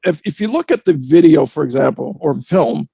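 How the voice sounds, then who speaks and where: A man speaking as a reporter on a discussion panel, reflective, with deliberate, halting, measured phrasing. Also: a little high, lively intonation, gravelly, mellow, breathy edge, dry recording.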